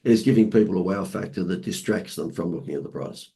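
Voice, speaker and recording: An Australian man, hoarse from laryngitis, telling lively marketing anecdotes as a podcast guest with playful asides. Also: sits low, fast talker. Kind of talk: casual storytelling interview.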